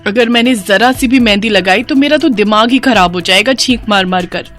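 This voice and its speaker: A woman voicing an anxious, complaining young bride-to-be in an animated cartoon story. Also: medium pitch, brisk, faint music behind, natural voice. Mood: worried, fretful. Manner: fretful, complaining.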